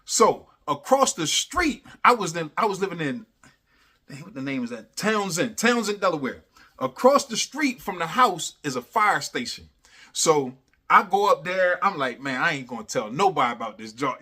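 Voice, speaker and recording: A man casually telling a funny personal story in animated, slangy talk, repeating phrases for emphasis and constantly checking in with the listener. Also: fairly high pitch, normal speed, slightly rough. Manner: animated, slangy, repeats phrases for emphasis, often adds "you know what I'm saying". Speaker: storyteller, host of a story series.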